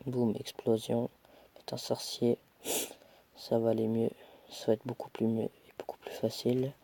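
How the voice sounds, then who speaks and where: A man's voice: mid-range voice, completely flat delivery, normal speed, gravelly, dark tone, a little hiss or hum. A young man with a cold, casually commentating his own mobile game match, chatty and self-mocking, muttering as he plays. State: stuffy with a cold.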